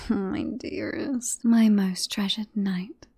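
Woman acting a slow, silky British queen, teasing. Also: mid-range voice, fairly even intonation, darkish tone, heavily acted. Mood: seductive, devoted. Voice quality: breathy, often dropping to a whisper. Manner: playful, silky, formal courtly wording. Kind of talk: ASMR fantasy roleplay acting.

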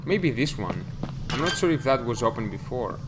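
A man's voice: medium pitch, very animated, normal speed, background noise. A young man commentating a horror game as he plays, thinking aloud and startling easily, on a headset mic. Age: young adult. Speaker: let's play gamer. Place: small room indoors. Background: game music.

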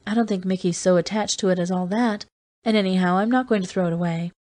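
A woman reading an audiobook, voicing a plump motherly woman who speaks in a soothing, gently rebuking way. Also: lowish voice, fast talker, close to an everyday voice. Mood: kindly.

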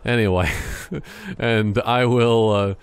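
A man's voice: mid-range voice, sing-song, slow, smooth. A man giving casual, joking gameplay commentary, rambling in a silly, mock-serious way.

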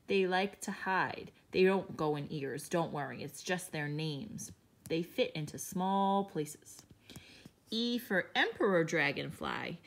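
A woman teacher reads a picture book to little children at an unhurried, patient pace. Her voice sits in a middle range, gentle and expressive. She drops into hushed whispers and small gasps of wonder as she goes. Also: mid-range voice. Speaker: teacher reading to young children. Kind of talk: picture book read-aloud for young children.

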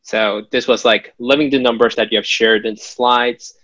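A man's voice: medium pitch, steady tone, normal speed, fairly bright tone. A man hosting an online Q&A, casually reading out audience questions in a hesitant, informal way over a video call. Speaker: Q&A host. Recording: dull lo-fi video call audio.

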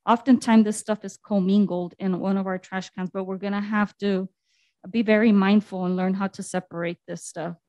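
A woman on city staff presents to the council, mostly reading her slides aloud and leaning on "um" between phrases. Her voice sits at a middling pitch, and the sound is dry and close. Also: fairly even intonation, moderate pace, warm tone, no echo. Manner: reads slide text aloud with frequent fillers.

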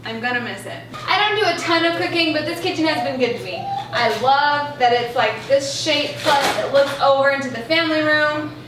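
A woman's voice: sits high, lively intonation, brisk, hardly any pauses. A young woman chatting casually as she gives a tour of her family house, wistful and full of fillers. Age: young adult. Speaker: family vlogger. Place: suburban family house, indoors. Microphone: handheld camera held close. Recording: echoey rooms, faint noise.

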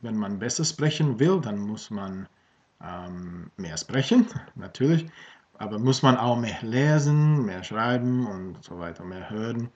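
A man's voice: lowish voice, expressive, measured pace, darkish tone. A man in his thirties from Australia, a native English speaker, talking casually in a learned language with many fillers and restarts, filmed by a webcam at home. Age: 30s. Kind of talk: casual vlog in German. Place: small room at home with bookshelves. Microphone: webcam at desk. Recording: dull lo-fi webcam audio.

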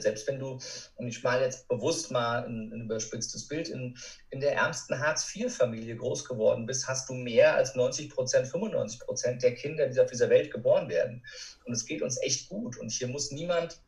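A man's voice: mid-range voice, darkish tone. A man giving earnest, reflective answers as a podcast guest, with emphatic repetition. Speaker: podcast guest, courage expert. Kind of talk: reflective conversational interview answers. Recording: roomy, distant from the mic.

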